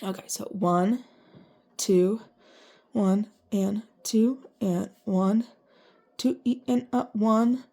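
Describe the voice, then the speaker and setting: Woman, stuffy congested voice, music teacher, counts rhythms in strict even syllables, slow and steady. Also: mid-range voice, vocal fry. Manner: chatty and self-deprecating between counts. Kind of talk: homework lesson, counting rhythms aloud.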